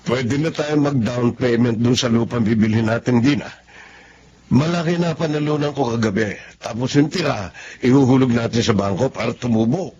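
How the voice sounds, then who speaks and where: An actor playing a stubborn, self-justifying middle-aged gambler husband in a Filipino radio drama style. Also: male voice, mid-range voice, normal speed, a little mellow, lightly acted. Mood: pleased, hopeful. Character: stubborn, defensive gambling husband and father, tired of work. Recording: dull lo-fi radio drama audio. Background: faint music.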